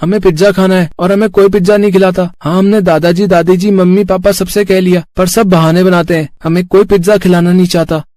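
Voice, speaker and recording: A whiny, insistent young child from a cartoon family story, played by an adult man, pitched well up. The child pleads and complains, recorded dry and close. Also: little pitch movement, fairly quick, few pauses, darkish tone, dry recording, natural voice. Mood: pleading. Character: young child begging and whining for pizza. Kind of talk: Hindi moral-story cartoon voice acting.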